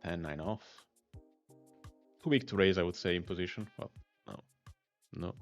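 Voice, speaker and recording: A man's voice: deep voice, normal speed, faint music behind. A man with an Italian accent, talking through his poker hands on a live stream, thinking aloud and muttering hand names. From Italy.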